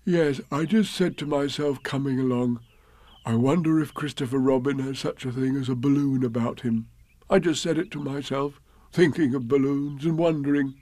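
Guy doing a slow-witted honey loving bear from a kids storybook, earnest, draws his words out, musing. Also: mid-range voice, fairly even intonation, warm tone, no room sound, close to an everyday voice. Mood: earnest, scheming. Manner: ponderous, drawn-out, musing.

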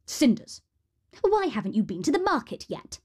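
A horrid stepmother from a storybook, acted out by a woman narrator. She is bossy and scornful, issuing sharp commands and exclamations in a fairly high voice. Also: very animated, normal speed, breathy edge, heavily acted.

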